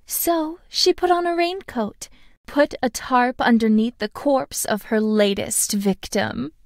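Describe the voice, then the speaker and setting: A woman voicing a cheerful, friendly schoolgirl in a video game, speaking in a sweet, gentle and deliberate way. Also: a little high, no room sound, studio quality. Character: cheerful, friendly anime schoolgirl narrating a play. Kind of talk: game tutorial voice acting, storytelling.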